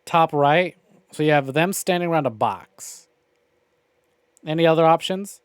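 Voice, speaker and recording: A man's voice: on the high side, lively intonation, measured pace, clean tone, dry recording, studio quality. A man giving casual, opinionated livestream commentary on a visual novel game, with wry, profane grumbling. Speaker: game streamer.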